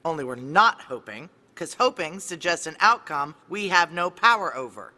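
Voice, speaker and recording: A woman's voice: deep voice, animated, normal speed, pushed and bright. An actress playing a tough, sardonic, profane female military security chief in a noir audio drama, blunt and wry. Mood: firm, commanding. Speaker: lead actor and narrator.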